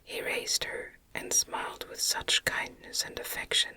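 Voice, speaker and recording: A woman reading a gothic novel aloud as ASMR, in a gentle, breathy, unvoiced whisper with careful, unhurried phrasing. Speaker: audiobook narrator, ASMR reader.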